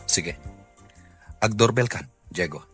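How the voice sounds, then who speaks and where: A man acting a steady young job seeker in a radio drama, low-key and matter-of-fact, with an Ilocano accent. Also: slow, soft background music.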